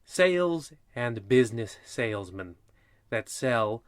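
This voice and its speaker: An actor playing a macabre, theatrical and sardonic host in a parody of old radio horror shows. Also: male voice, mid-range voice, animated, takes it slowly, dry recording, studio quality.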